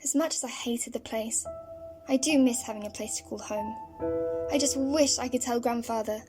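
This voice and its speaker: Woman acting a young granddaughter, earnest, inquisitive, wistful, fairly high voice, audio drama, music behind. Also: moderate pace, natural voice. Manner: earnest, questioning. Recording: music laid under the voice.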